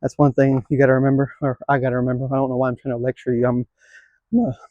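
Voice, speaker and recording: A man's voice: medium pitch, monotone, rapid-fire, dry recording, narrow, tinny audio. A man in his late twenties filming a casual skateboarding vlog, rambling and self-deprecating, swearing now and then. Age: 20s. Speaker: skateboarding vlogger.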